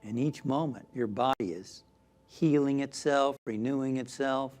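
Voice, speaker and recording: Slow-paced man guiding a meditation. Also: medium pitch, soft background music. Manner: gentle, soothing, drifting into near-whispers. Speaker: minister leading guided meditation. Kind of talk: guided meditation.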